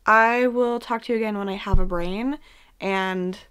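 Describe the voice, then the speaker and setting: A hoarse, exhausted woman vlogging casually at home late at night, talking in a tired, rambling half-whisper. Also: medium pitch, steady tone, normal speed, a bit bright. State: exhausted from no sleep. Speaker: book vlogger.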